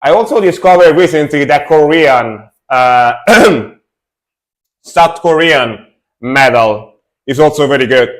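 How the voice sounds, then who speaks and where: A young adult man casually chatting with his stream chat while reacting to metal music, relaxed and talkative. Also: on the high side, slow pace, rough recording. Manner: relaxed, chatty, answers chat, fillers and restarts.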